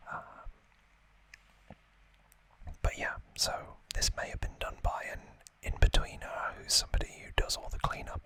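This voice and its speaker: A man hosting ASMR in a breathy, unvoiced whisper, rambling hesitantly and a little self-deprecatingly as he chats. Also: bright, forceful tone, low-quality recording. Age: late 20s or older. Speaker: ASMR channel host. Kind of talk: whispered ASMR unboxing chat.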